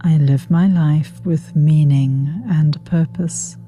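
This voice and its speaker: A woman guiding a meditation with affirmations in a soothing, unhurried way, with soft, breathy phrase endings. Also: low-pitched, steady tone. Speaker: meditation guide. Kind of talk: guided meditation with spoken affirmations. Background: meditation music with singing bowl.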